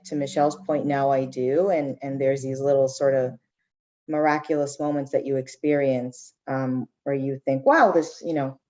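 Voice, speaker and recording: A woman with a notably low voice speaks on a conference panel, working through her ideas out loud. She often checks in with her listeners and restarts her thoughts. The audio sounds a little dull. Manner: reflective, many restarts and "right?". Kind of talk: panel discussion.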